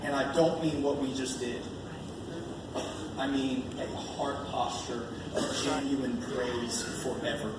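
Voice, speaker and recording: A man's voice: medium pitch, steady tone, takes it slowly. An American man preaching an informal sermon in a colloquial, emphatic way, repeating phrases to drive them home. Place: large echoey room at a live church gathering. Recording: echoey room from far off, noisy.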